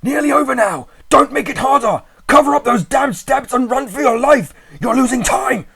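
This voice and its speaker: A man's voice: very high-pitched, moderate pace, creaky, music in the background, voice pushed up. A man voicing a terrified, doomed man screaming frantic warnings in a horror story reading. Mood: desperate urging. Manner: frantic, shrieking, pleading.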